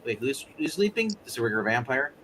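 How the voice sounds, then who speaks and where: Male player, sharp bright tone, highly animated, teasing banter, slight background noise. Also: quick pace, low-quality recording. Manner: teasing, wisecracking. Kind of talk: casual joking chat during a game.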